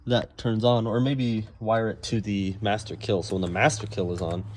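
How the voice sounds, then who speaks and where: A man's voice: fairly low pitch, little pitch movement, moderate pace, darkish tone. A man giving an easygoing, unscripted show-and-tell of a DIY project in an offhand, casual way. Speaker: vlogger showing a DIY car build. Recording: clear background noise and ambience.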